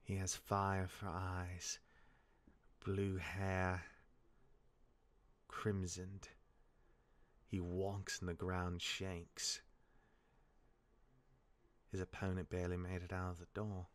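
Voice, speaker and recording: A man's voice: sits low, completely flat delivery, slow pace, long pauses. A man playing a cocky, easygoing god who mentors his younger sibling in a fantasy audio drama, casual, rambling and teasing. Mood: storytelling. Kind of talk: fantasy audio roleplay acting.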